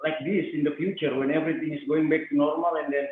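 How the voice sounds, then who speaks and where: Echoey, tinny man, higher-pitched. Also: fairly even intonation, quick pace. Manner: hesitant, repetitive phrasing. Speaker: moderator. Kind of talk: casual online discussion. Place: echoey room on a video call. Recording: echoey, tinny noisy video call.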